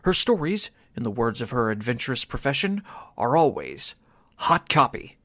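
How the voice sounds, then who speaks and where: A man's voice: mid-range voice, sing-song, moderate pace, a bit bright, dry recording, studio quality. A man announcing a radio drama in scripted 1940s style, with dramatic, emphatic phrasing.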